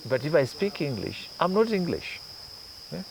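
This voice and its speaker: A man's voice: fairly high pitch, sing-song, normal speed, vocal fry, noisy background. A man from Kenya, a journalist guest in an interview, who explains his points patiently with examples. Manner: thoughtful, explains with examples and asides. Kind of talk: conversational interview.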